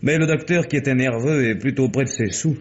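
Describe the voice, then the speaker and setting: An actor playing a cool, sardonic private detective in a French radio crime drama, speaking in a dry, measured, needling way. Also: male voice, medium pitch, close to an everyday voice. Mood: dry, insistent. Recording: dull, faintly noisy old radio recording.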